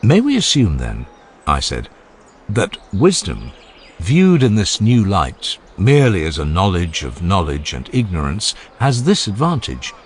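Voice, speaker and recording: A man narrating an audiobook of a classical philosophical dialogue in a measured, formal and emphatic way. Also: low-pitched, wildly swooping pitch, slight background noise. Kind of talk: dramatized scripted reading of a classical philosophical dialogue.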